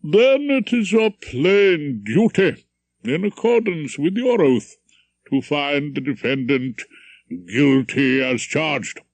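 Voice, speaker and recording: A man voicing a pompous, condescending older male judge, speaking slowly and grandly. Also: on the high side, big pitch swings, dry recording, pitched above the performer's own voice, full character voice. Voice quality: pompous, measured.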